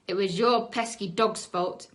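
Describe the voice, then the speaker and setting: A woman's voice: mid-range voice, fairly even intonation, unhurried, close to an everyday voice. Woman voicing a sneering, blustering bully boy. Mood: threatening. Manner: threatening, sneering.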